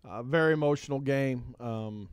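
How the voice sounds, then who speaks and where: A man's voice: mid-range voice, moderate pace, clear, resonant tone, no echo. A man, a head football coach, talking on a radio show with many fillers and restarts. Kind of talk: casual radio interview. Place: radio studio.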